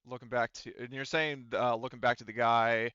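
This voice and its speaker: A man running a tabletop roleplaying game as game master, narrating casually and thinking aloud in a halting way. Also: mid-range voice, level tone, sharp tone, smooth, no room sound, highs cut off.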